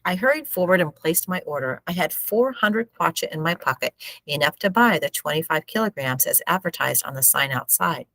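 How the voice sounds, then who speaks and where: A woman reading a book aloud as expressive, measured storybook narration. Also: deep voice, no room sound.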